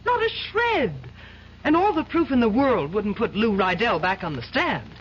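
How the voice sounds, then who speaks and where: A teasing, coaxing young woman in an old radio mystery play. Her voice rises and falls with lively swings as she charms and then presses. The broadcast sounds dull and lo-fi with a faint hiss behind it. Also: fairly high pitch, normal speed. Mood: insistent. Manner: playful and coaxing, turning insistent. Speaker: lawyer's girlfriend and boss's daughter. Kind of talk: 1970s radio drama acting.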